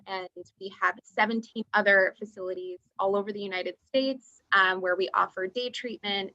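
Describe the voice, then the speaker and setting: Woman with Russian as first language, bright. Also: mid-range voice, no room sound, poor audio. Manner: lots of "um" fillers, friendly. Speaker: representative introducing her organization. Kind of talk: webinar introduction.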